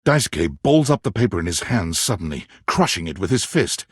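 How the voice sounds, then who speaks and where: A man playing a wry, dramatic storyteller who narrates a romance video game in measured second person. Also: low-pitched, very animated, slightly rough, no room sound, clean studio sound, full character voice.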